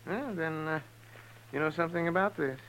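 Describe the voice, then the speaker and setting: An excitable, crotchety town doctor of the Old West. His American voice is fairly high and forceful, with testy hems. A little hiss marks the 1950s radio recording. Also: fairly quick. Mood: testy, indignant. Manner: testy, hemming, emphatic. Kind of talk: 1950s radio western acting. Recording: faintly noisy vintage radio recording.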